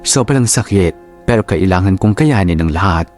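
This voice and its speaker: A sorrowful storyteller narrating a heartbreak letter for Filipino radio drama. His voice is on the low side with a Filipino accent, set over clearly audible music. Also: expressive, moderate pace, a little mellow. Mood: sorrowful, reflective.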